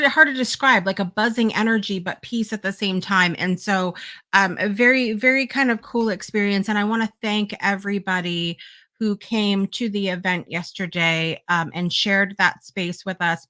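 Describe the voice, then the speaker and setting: A woman's voice: mid-range voice, moderate pace, bright, forceful tone, no echo. A woman hosting a live talk show, speaking in an earnest, encouraging way, with fillers and repeated phrases.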